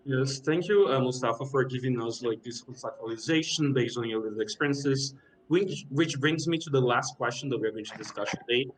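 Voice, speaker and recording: A man's voice: medium pitch, fairly quick, poor audio. A man moderating a panel discussion, briefly affirming speakers and posing questions.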